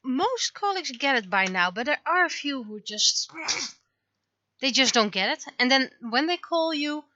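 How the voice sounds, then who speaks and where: A woman's voice: high voice, expressive, measured pace, lo-fi sound, background noise. A woman chatting casually on a livestream while she works on her art, rambling and thinking aloud. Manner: rambling, thinking aloud, acts out remembered exchanges. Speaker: livestreamer, webcomic artist.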